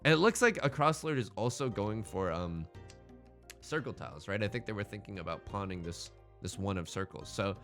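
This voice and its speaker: Male let's play host, slangy and chatty, very varied pitch, thinking aloud over light background music. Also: lively intonation, normal speed, a bit bright. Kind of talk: casual gameplay commentary.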